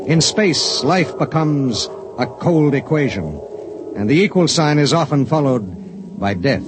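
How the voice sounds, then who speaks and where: An actor playing a spaceship pilot in a 1950s radio drama, narrating gravely in the first person. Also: male voice, fairly high pitch, very animated, a little slow, noisy background. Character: spaceship pilot, steady and matter-of-fact. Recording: vintage radio broadcast with music beneath.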